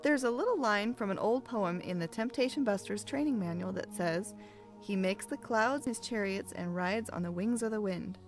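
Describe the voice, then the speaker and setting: A woman playing a kindly, patient mentor in a children's audio drama, explaining things gently like a teacher. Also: medium pitch, normal speed, soft background music, lightly acted.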